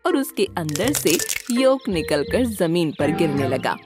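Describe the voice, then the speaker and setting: Animated woman narrating a children's story. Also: fairly low pitch, a little slow. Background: background music.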